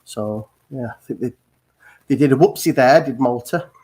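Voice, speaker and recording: A man's voice: medium pitch, normal speed, warm tone. A man on a fan panel, teasing a co-host and laughing, with many hesitations. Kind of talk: casual panel chat.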